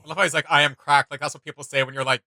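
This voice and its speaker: Male reaction host, enthusiastic and conversational, crisp bright tone, close dry sound. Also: fairly high pitch, rapid-fire, dry recording. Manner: animated, enthusiastic, laughs readily. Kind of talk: casual reaction chat.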